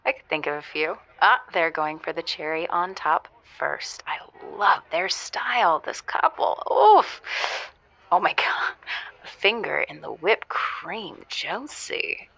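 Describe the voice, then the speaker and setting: A woman's voice: sits low, takes it slowly, gravelly, sharp tone, heavily acted. A woman playing a flirtatious, playful caller to a radio show in an audio drama, teasing and gossipy. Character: flirtatious, playful woman calling in, gleefully narrating a date. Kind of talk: audio fiction acting.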